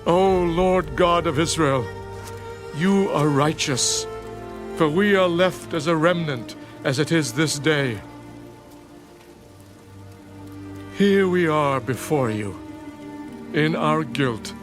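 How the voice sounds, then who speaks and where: An actor playing a penitent Hebrew priest at prayer in a dramatised scripture reading, pleading solemnly in measured phrases. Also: male voice, fairly high pitch. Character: penitent male Hebrew priest praying in anguish. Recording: music behind the voice.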